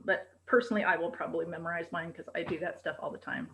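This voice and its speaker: Loud, bright-voiced woman coach. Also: steady tone, fast, creaky, a little hiss or hum. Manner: loud, projecting, high energy. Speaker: presentation coach. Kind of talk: coaching on a video call. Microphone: video call.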